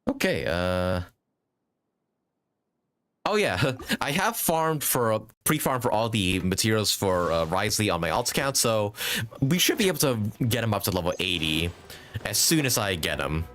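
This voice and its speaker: A man's voice: fairly low pitch, sing-song, normal speed, fairly bright tone, a little hiss or hum. A man giving casual, rambling live commentary as he streams a game, thinking aloud and chatting to viewers. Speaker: game livestreamer.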